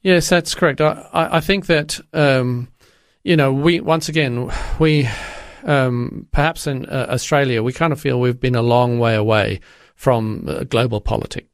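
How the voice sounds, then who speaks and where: An older man from Zimbabwe answering interview questions in a halting way, with many restarts and fillers. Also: mid-range voice, fairly even intonation, no echo, studio quality. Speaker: guest.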